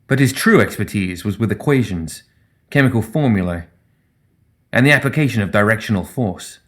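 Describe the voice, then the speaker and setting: A man's voice: lowish voice, animated, normal speed. A man reading scripted fiction as an audiobook narrator.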